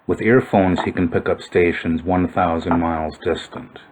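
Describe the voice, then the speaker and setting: A man with a low-pitched voice narrates a video in an informal, explanatory style. He muses as he goes, thinking aloud as he works through what he is examining. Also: steady tone, normal speed, a little mellow, a little hiss or hum. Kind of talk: informal explanatory commentary over old photos.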